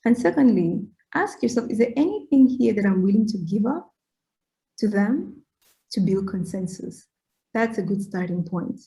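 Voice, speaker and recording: A middle-aged woman from Cameroon speaks with a mellow, warm tone. She is earnest and reflective as she gives advice, and her points often end in a quick "right?". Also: medium pitch, animated, low-quality recording. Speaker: interviewee. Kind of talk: conversational Q&A talk, advice-giving.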